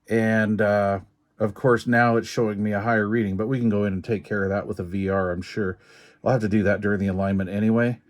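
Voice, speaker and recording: A man casually talking through a hands-on repair demonstration, informal and folksy, thinking aloud in a halting way. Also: lowish voice, level tone. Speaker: radio repair technician and video host. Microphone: handheld camera while working.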